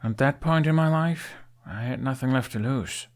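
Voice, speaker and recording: A man's voice: medium pitch, normal speed, pitched above the performer's own voice, lightly acted. A man voicing an awkward, guarded young Viking outlaw in an audiobook, speaking in a hesitant, understated way. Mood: bitter, guarded.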